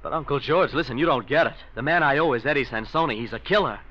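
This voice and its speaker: An actor playing a spendthrift young nephew, defensive and slangy, in 1940s radio crime drama style. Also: male voice, medium pitch, fast, close to an everyday voice. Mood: pleading. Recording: dull old radio recording.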